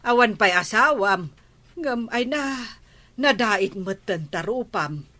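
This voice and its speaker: A chiding neighbor woman in an Ilocano radio drama, lecturing a young drinker about his mishap. She speaks slowly, in a bright, clear mid-range voice. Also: medium pitch, expressive, full character voice.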